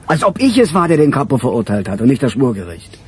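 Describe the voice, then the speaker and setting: An actor playing a weary, grumbling police chief with a husky voice in a radio crime drama. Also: male voice, mid-range voice, very animated, fast. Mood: irritated.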